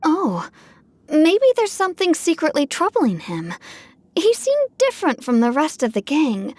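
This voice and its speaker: Gentle village mother for a game: very high, lilting, earnest, anxious. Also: huge pitch swings, unhurried, no echo, heavily acted. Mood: thoughtful. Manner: earnest, emotional, polite. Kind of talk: video game voice acting.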